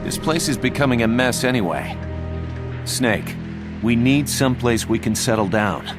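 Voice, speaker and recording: A man playing a pragmatic, sociable young mercenary second-in-command in a game cutscene, smooth-talking and businesslike. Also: medium pitch, normal speed, natural voice. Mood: keen, businesslike. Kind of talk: dramatic game cutscene acting. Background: loud dramatic music.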